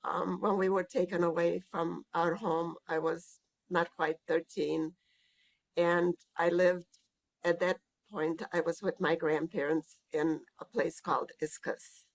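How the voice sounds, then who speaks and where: An elderly woman from Hungary, giving a recorded oral history interview as a Holocaust survivor, speaking reflectively and hesitantly with pauses. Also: on the low side, little pitch movement. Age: older.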